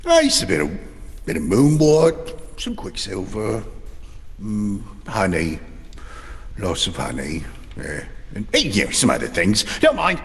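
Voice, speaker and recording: A man's voice: lowish voice, wildly swooping pitch, measured pace, fairly bright tone, long reverb tail, full character voice. An actor playing a huge, hearty, grey-bearded northerner in a fantasy audio drama, teasing and chuckling as he talks. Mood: jovial, coaxing. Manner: hearty, teasing, chuckling through his talk. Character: huge boisterous jolly grey-bearded northern mountain of a man.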